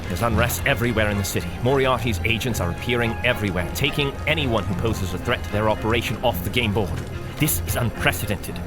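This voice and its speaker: An actor playing an authoritative, dry government official in an audio drama, formal and commanding. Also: male voice, fairly low pitch, fast, lightly acted. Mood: grave, decisive. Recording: loud music behind.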